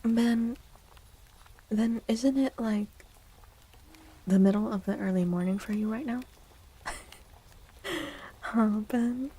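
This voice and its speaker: A woman speaking softly and gently in a breathy, soothing ASMR style, often slipping into a whisper as she chats with stream viewers. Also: on the high side, slow pace, long pauses. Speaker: livestreamer hosting an ASMR stream. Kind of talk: soft-spoken ASMR, chatting with viewers. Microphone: close microphone.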